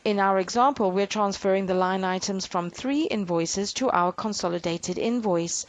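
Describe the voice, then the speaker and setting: A woman reading a scripted software demo narration in a measured, instructional way. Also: medium pitch, no echo.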